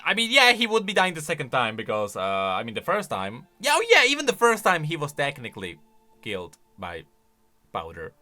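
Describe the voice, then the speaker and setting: A man's voice: on the high side, wildly swooping pitch, brisk, sharp tone, soft background music. A man giving casual, exclamatory reaction commentary, thinking aloud as a video creator.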